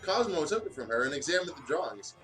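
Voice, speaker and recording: A man's voice: on the high side, sing-song, fast, a bit bright, faint music behind. A man reading fan fiction aloud in a casual style as a co-host of a gaming video.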